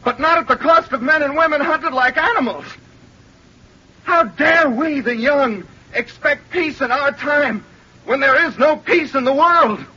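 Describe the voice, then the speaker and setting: An actor playing an idealistic young college student in an old-time radio drama, earnest and impassioned. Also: male voice, extremely high pitch, measured pace. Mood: impassioned, defiant. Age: young adult. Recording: dull, noisy vintage radio recording.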